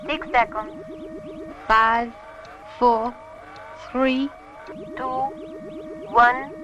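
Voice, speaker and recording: An actress playing a cool, poised female crew member of a rocket ship in a science fiction series, speaking deliberately. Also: a little high, fairly even intonation, bright, forceful tone, highs cut off, full character voice. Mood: focused. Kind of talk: 1960s children's science fiction puppet series acting. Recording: music behind.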